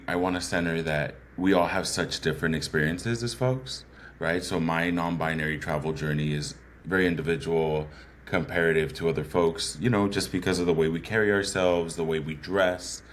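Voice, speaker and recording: Very low-voiced man, thoughtful guest storyteller, light background hiss. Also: fairly even intonation, normal speed. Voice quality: bassy voice. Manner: earnest, thoughtful, frequent "right?" asides. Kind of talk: reflective conversational storytelling.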